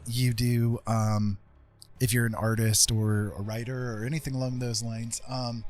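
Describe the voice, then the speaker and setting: A man's voice: medium pitch, little pitch movement, unhurried, darkish tone. A man hosting a casual art livestream, explaining things in a meandering, easily sidetracked way. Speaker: livestream host and digital artist. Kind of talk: casual chat while drawing. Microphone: stream microphone with windscreen. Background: music.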